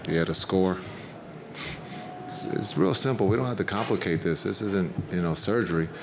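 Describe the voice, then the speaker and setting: A middle-aged man, a head coach answering reporters' questions at a postgame press conference, speaking in a measured, plain way with many fillers, into a table microphone. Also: sits low, gravelly, noisy background. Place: press conference room with backdrop.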